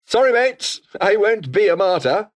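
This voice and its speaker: Game role: pompous, scheming British officer, coldly ambitious, with widely swinging intonation. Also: male voice, high-pitched, very animated, moderate pace. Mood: combative, urgent. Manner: pompous, formal, disdainful. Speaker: video game voice actor. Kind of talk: scripted game character dialogue.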